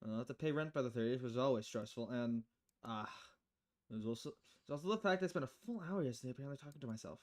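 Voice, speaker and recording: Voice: mid-range voice, lively intonation, fast, natural voice. A performer voicing a stressed, distracted young female bartender who rambles worriedly in visual novel dialogue. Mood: fretful.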